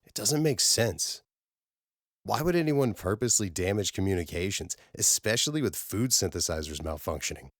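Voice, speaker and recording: A man voicing a steady, commanding male space-mission leader in an audiobook, measured and matter-of-fact with a dry edge. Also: fairly low pitch, dry recording.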